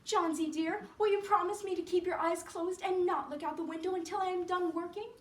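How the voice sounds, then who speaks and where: Woman playing an anxious, high-pitched young woman. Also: steady tone, a little fast. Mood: pleading, tender. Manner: emphatic, coaxing, flustered. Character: anxious, fussing, motherly young woman, devoted friend. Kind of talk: solo dramatic storytelling performance. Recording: echoey room, distant mic, background noise.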